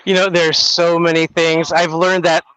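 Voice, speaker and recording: A man's voice: on the high side, little pitch movement, normal speed, fairly bright tone, smooth, rough recording. A male radio host in a panel chat, talking upbeat and rambling, with many fillers.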